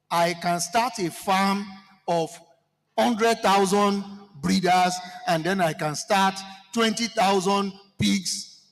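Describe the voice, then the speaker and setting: Nigerian guy w yoruba accent, high voice, fired up talking to a seminar crowd, big hall echo. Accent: Nigerian, Yoruba-speaking. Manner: animated, rhetorical questions to the crowd, mixing in Yoruba. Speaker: seminar participant speaking to the floor. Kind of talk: impassioned address to a seminar audience.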